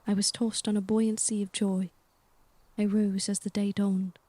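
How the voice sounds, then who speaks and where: An actress playing a young governess in an audio drama, reserved and earnest, speaking formally. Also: female voice, mid-range voice, flat delivery, a little slow, mellow, no echo, close to an everyday voice. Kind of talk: audio drama acting and first-person narration.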